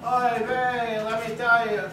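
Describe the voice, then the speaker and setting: A woman's voice: a little high, level tone, takes it slowly, fairly bright tone. A woman playing an exasperated, comic immigrant wife on stage, with a Yiddish accent and sing-song kvetching. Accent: Yiddish, put on. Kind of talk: broad comic stage acting. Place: small theater, live staged reading. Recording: echoey theater, distant mic, noisy.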